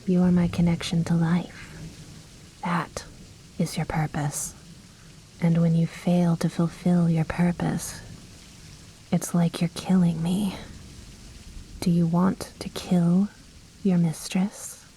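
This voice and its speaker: A commanding vampire mistress, played by a woman for an ASMR roleplay, speaks slowly and close in a low-pitched, even murmur. Her breathy whisper is haughty and coaxing, with a possessive, seductive menace. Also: a little hiss or hum, poor audio. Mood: seductive, controlling.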